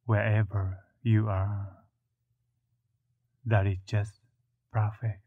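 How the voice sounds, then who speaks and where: Slow, low-voiced man guiding meditation, phone-like sound. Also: flat delivery, no echo. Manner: measured, with long pauses between short phrases. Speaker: guided meditation leader. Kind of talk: slow, soothing guided meditation narration. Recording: muffled, narrow phone-like sound.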